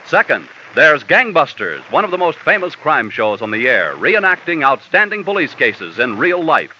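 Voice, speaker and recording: Bright-voiced old-time radio announcer man. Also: mid-range voice. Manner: smooth, formal, persuasive. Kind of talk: scripted announcement. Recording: dull old radio broadcast, light hiss.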